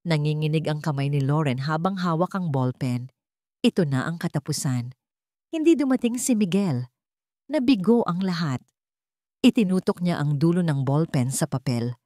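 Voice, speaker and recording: A woman's voice: low voice, animated, normal speed, warm tone, no echo, clean studio sound. A woman with a Filipino accent reading a dramatic scripted story as an audiobook narrator.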